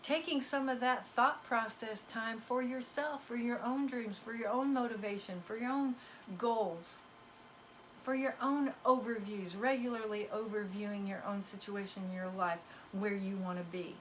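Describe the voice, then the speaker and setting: A woman's voice: fairly high pitch, fairly even intonation, moderate pace, fairly bright tone, a little hiss or hum, poor audio. A woman who is losing her voice, giving a casual, emphatic spiritual pep talk as a card reader, folksy and preachy in tone. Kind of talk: casual motivational talk to viewers.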